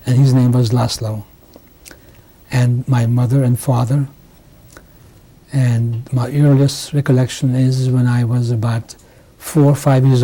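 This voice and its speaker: A Hungarian-born man in his sixties recalls his life slowly, stopping and restarting his sentences. His voice is very dark and mellow. It stays flat and level, with little rise or fall. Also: mid-range voice, low-quality recording. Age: 60s. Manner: halting, with many restarts and pauses. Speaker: interviewee. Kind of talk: oral history testimony. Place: home interview.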